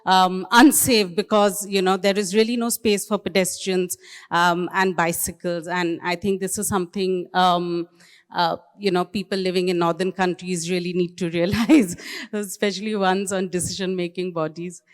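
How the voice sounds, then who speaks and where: A woman's voice: on the low side, little pitch movement, normal speed. A woman moderating a conference panel, speaking hesitantly with many fillers. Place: conference hall. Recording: reverberant conference hall.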